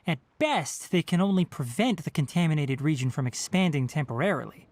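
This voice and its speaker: An actor performs a composed young scientist from a video game. The delivery is precise and formal, like a lecture, with clinical phrasing carried on a very mobile pitch. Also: expressive, fairly quick, a little mellow, slight background noise, natural voice. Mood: focused, analytical. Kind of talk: scripted video game dialogue.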